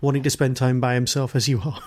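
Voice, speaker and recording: A man's voice: mid-range voice, little pitch movement, quick pace, a little mellow. A man hosting a podcast interview in a casual, jokey, tongue-in-cheek way.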